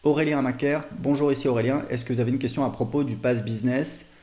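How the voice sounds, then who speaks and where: A man giving a casual, unscripted software demo as an online business coach, with loose, hesitant phrasing full of filler tags. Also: mid-range voice, steady tone, fast.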